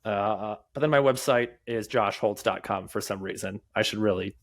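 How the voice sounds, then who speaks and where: A young adult man who stutters, speaking as a podcast guest in casual talk, with frequent fillers, pauses and restarts. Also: on the low side.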